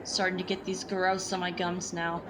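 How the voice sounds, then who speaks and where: A woman's voice: lowish voice, flat delivery, normal speed, noisy background, natural voice. A woman playing a sickly, resigned young match factory worker in an audio drama.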